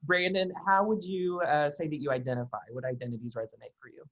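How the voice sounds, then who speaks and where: A man's voice: a little high, steady tone, fast, a bit bright. A man hosting a podcast, keeping his turns brief and friendly as he thanks the guests and hands the turn over. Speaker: podcast host. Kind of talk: hosting a group conversation. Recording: dull lo-fi sound.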